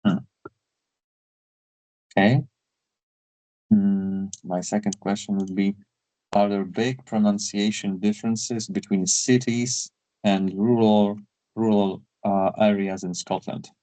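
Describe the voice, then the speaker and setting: Man, interviewer, hesitant, lots of fillers, low-ish and mellow, slow, dry close sound. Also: level tone, no room sound, poor audio. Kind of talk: interview questions.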